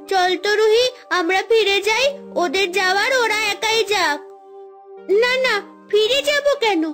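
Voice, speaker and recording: A woman's voice: very high voice, a bit bright, full character voice. A woman voicing young girls on a forest adventure in a cartoon fairy tale, bickering and dramatic.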